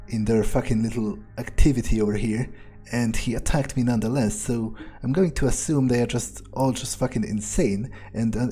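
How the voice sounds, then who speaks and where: A man's voice: medium pitch, warm tone, slightly rough. A man giving in-character commentary on a game playthrough, musing casually and swearing freely as he goes. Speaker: gamer role-playing his character aloud.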